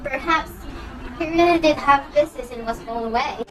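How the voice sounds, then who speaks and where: Young woman as timid high-voiced society daughter. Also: moderate pace, fairly bright tone, some chatter behind. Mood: meek. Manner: meek. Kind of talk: amateur stage-play acting. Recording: distant mic under prominent music.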